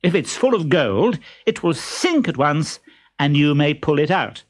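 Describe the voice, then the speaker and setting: Man voicing a high, playful young woman. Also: big pitch swings, unhurried. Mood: mock-stern. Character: bold, mischievous young woman Columbine, in love. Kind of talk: children's storybook voice acting.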